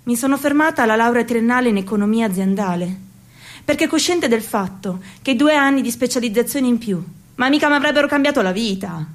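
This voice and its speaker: A young waitress in a radio play, overqualified and fed up, serving her lines with measured sarcasm. Her voice sits high and light for a woman. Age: 30s. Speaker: actress in a radio theatre piece. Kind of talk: theatrical monologue.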